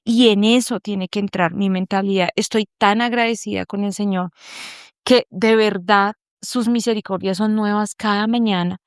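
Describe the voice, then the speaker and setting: A woman's voice: mid-range voice, fairly even intonation, normal speed, clear, resonant tone, dry recording, studio quality. A woman speaking earnestly and reflectively over a video call, giving a personal faith testimony to an online group and searching for her words as she goes. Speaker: group member sharing a testimony.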